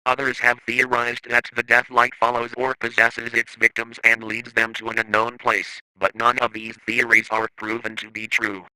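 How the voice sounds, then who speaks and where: Male narrator for analog horror informational piece: formal, instructional, sharply bright tone, dry close sound. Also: mid-range voice, completely flat delivery, moderate pace, hardly any pauses, clear, resonant tone, dry recording, low-quality recording.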